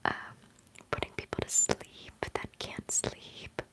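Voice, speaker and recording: A woman in her twenties whispering through a relaxed livestream chat, rambling and giggling between thoughts as an ASMR streamer. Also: takes it slowly. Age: 20s. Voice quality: soft whisper.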